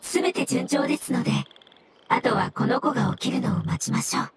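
A woman playing a gentle, earnest floating mushroom creature in a Japanese video game, speaking formally and with courteous composure. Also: fairly high pitch, brisk, background noise, lightly acted. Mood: earnest, grateful. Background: background music.

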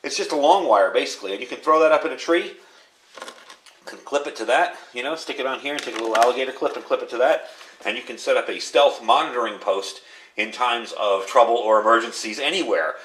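A man's voice: medium pitch, brisk, fairly bright tone. A man giving a casual, hands-on product review, explaining things informally and without a script. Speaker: radio reviewer. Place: indoors at a workbench. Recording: clear background noise, room ambience.

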